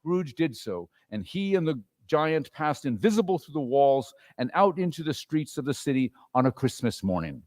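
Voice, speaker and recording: A man's voice: fairly high pitch, very animated, moderate pace, few pauses, darkish tone, big-room sound. A man narrating a classic story as a dramatic reader.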